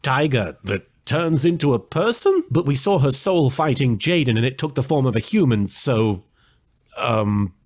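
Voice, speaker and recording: Male recap host, mid pitch with lively range, sarcastic and mock-serious delivery. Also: normal speed. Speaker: host of an anime recap series. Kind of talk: scripted humorous recap narration.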